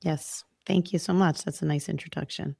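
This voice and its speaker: Female podcast guest from New York, mid pitch, gravelly voice, chatty, dry sound. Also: fairly even intonation, normal speed, no echo. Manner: warm, chatty, laughs easily. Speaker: guest, massage and reiki therapist. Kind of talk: casual interview.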